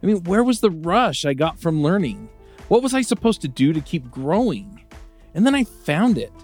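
A man reading a scripted ad in an upbeat, lively way. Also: moderate pace. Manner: upbeat pitch, lively delivery. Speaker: advertiser. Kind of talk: scripted ad read. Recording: light music underneath.

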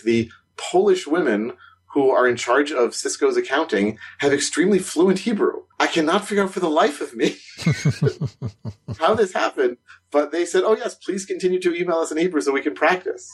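A man co-hosting a podcast in casual conversation, animated and quick to laugh, his voice climbing when something surprises him. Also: husky. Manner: animated, rising pitch on surprising points, laughs while talking. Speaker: podcast co-host, consultant.

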